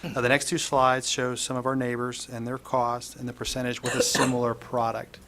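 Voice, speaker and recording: A man's voice: medium pitch, level tone, normal speed, noisy background. A man presenting to a school board, opening formally with greetings and using hesitant fillers. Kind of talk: formal presentation at a public meeting. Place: school board meeting room.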